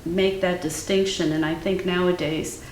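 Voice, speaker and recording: An older woman, a guest artist whose first language was Ukrainian, talking in a thoughtful, measured way with many fillers. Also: lowish voice, fairly even intonation, a little mellow. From Cleveland, Ohio, US. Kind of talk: casual interview conversation. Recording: echoey room from far off, faint noise.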